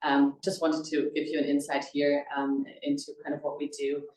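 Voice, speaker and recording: A woman's voice: sits low, completely flat delivery, moderate pace, warm tone. A woman from Germany with a German accent, presenting conversationally in a webinar as a recruitment consultant, in an informal, chatty way. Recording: roomy echo, distant microphone.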